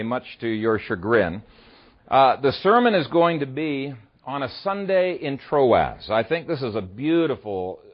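A man from Ethiopia preaching a sermon, conversational and easygoing, often pausing with "uh" as he tells a story and explains scripture. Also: mid-range voice, lo-fi sound. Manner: conversational storyteller, frequent "uh" fillers. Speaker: pastor. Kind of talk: sermon with a humorous opening story.

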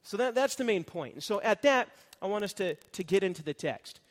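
A man's voice: high voice, animated, fairly quick, in a large hall. A man preaching an expository sermon, explaining grammar in a conversational teaching manner and often checking in with the congregation. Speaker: pastor.

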